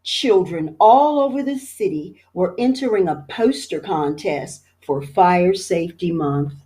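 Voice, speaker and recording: A woman, a teacher, reading a children's picture book aloud in an expressive storytelling manner. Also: fairly low pitch, measured pace.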